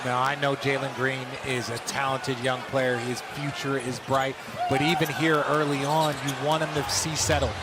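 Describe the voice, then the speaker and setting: A man's voice: medium pitch, little pitch movement, moderate pace. A man giving explanatory, conversational basketball analysis as a color commentator. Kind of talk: analytical live sports commentary. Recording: very noisy game broadcast audio.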